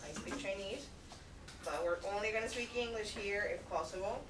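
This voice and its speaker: A woman teaching English to a class, speaking slowly and patiently and repeating phrases for learners. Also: medium pitch. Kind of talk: classroom instruction. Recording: echoey room, far mic, noisy.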